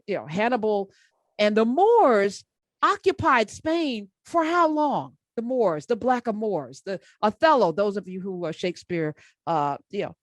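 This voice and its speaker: A woman hosting a radio talk show, chatting in an animated, rambling way with quick asides. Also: fairly high pitch, dry recording. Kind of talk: casual talk radio interview.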